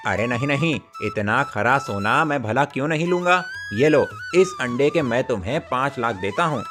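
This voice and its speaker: A man's voice: mid-range voice, animated, moderate pace, over music, lightly acted. A man voicing an eager, talkative village goldsmith in a cartoon story, inquisitive and wheedling.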